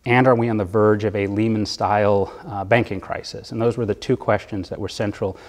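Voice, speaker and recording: A man being interviewed as a bond fund manager, explaining economics in a measured way with occasional fillers. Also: fairly low pitch, fairly even intonation, a little mellow, in a large hall.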